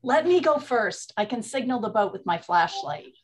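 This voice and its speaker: A woman's voice: fairly high pitch, very animated, normal speed. An actress playing a bossy, slangy woman bootlegger in a 1920s comedy radio play. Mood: evasive.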